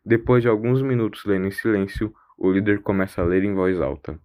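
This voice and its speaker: A man's voice: on the low side, a little fast, a little mellow. A man narrating a scripted science-fiction audio drama as its storyteller.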